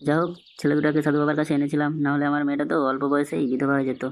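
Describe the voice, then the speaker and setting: A man's voice: a little high, flat delivery, rapid-fire, close to an everyday voice. A man voicing a hot-headed but devout middle-aged village father in a Bengali comedy cartoon. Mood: devout, obedient.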